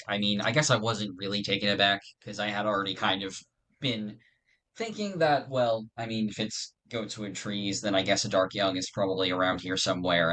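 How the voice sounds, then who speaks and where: A man with a low voice talks casually as a podcast guest. He is thoughtful and somewhat hesitant, rambling as he works through his ideas. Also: bright, forceful tone, a little hiss or hum. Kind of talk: casual chat.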